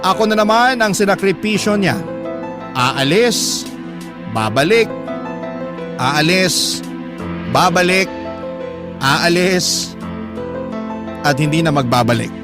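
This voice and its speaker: A man reading a first-person love story as a radio host, in a measured, expressive, dramatic storytelling style. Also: high voice. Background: music.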